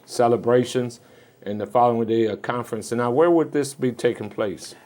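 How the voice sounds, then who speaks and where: A man's voice: mid-range voice, brisk, darkish tone. A man with an American accent hosting a conversational studio interview. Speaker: talk show host.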